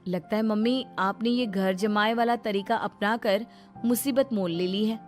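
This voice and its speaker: A woman voicing young married daughters who grumble in a whiny, animated way about lazy husbands, in a cartoon story. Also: mid-range voice, unhurried, faint music behind, lightly acted. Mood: grumbling.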